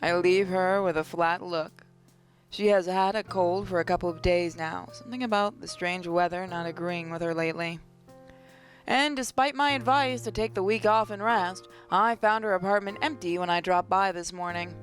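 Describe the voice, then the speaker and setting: A woman's voice: lowish voice, moderate pace, faint music behind. Woman reading visual novel narration aloud. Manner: stumbles and rereads phrases. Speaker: gaming channel host.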